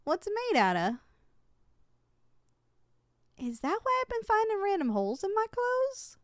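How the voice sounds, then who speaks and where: A woman's voice: very high-pitched, wildly swooping pitch, unhurried, dry recording, studio quality, heavily acted. A woman playing a kind, soothing giantess in an ASMR roleplay. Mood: curious, reassuring. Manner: soothing, folksy, gently reassuring. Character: kind, gentle young giantess homeowner comforting a tiny person.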